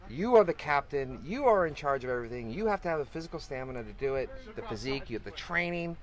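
A man's voice: medium pitch, animated, fast talker. A middle-aged man organizing a fishing tournament, talking enthusiastically in a rambling way with frequent restarts, recorded outdoors on a beach. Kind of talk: casual interview talk. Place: outdoors on a beach, under a cart canopy.

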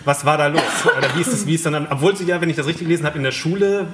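Chatty German podcast host asking questions. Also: male voice, a little high, rapid-fire, heavy background noise. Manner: chatty, long winding questions. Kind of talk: interview questions.

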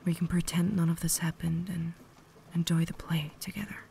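Casting: woman to play refined, tormented vampire lady for ASMR roleplay; breathy whisper, gravelly fry, caring and formal. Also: on the low side, level tone, warm tone, slight background noise. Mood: gentle, resigned. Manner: formal, measured, earnest diction.

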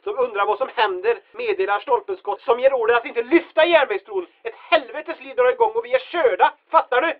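An actress playing an urgent, bossy adventure heroine in an audio drama, giving clipped orders through a telephone filter. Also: female voice, on the high side, hardly any pauses. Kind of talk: comedic audio drama acting. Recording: thin band-limited phone line.

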